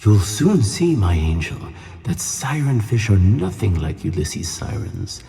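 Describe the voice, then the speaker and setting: A man's voice: fairly low pitch, very animated, unhurried, creaky, dark tone, full character voice. A man voicing a reassuring, mysterious flying tuna fish in a children's audio play, earnest and soothing. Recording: long reverb on the voice.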